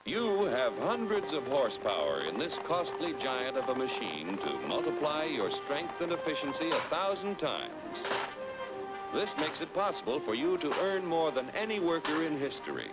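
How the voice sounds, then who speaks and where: An actor playing a confident, didactic American narrator and guide in a 1940s educational cartoon. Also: male voice, on the high side, very animated, normal speed. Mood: earnest, persuasive. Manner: didactic, persuasive, polished. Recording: muffled vintage film soundtrack, loud music.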